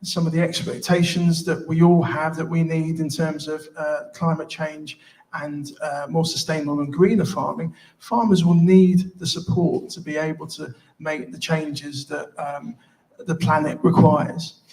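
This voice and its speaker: Female independent candidate, impassioned but halting, speaking at a public hustings. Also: low voice, steady tone, darkish tone, poor audio. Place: live public hustings event.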